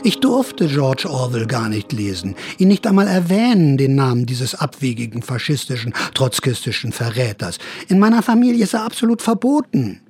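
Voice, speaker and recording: A man's voice: medium pitch, very animated, normal speed, hardly any pauses, a little mellow, breathy edge, no echo, soft background music. An actor playing a sly older counterculture veteran who narrates his memories in a radio drama, confiding, wry and theatrical. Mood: confessional, ironic.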